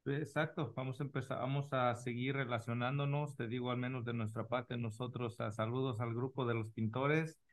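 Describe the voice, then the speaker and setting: A man's voice: mid-range voice, fairly even intonation, normal speed, few pauses. A man hosting a casual podcast conversation, talking in an informal, joking and rambling way with English words mixed in. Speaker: podcast host.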